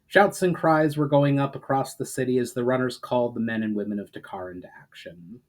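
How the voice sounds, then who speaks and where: A man narrating fantasy fiction as a storyteller, in a dramatic, measured and expressive reading style. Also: medium pitch, low-quality recording.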